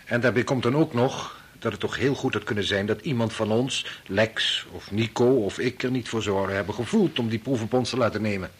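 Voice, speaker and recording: An actor with a Netherlands Dutch accent playing a decisive, matter-of-fact medical researcher steering a meeting in a radio drama. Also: male voice, fairly low pitch, fairly quick, hardly any pauses, husky. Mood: measured, firm.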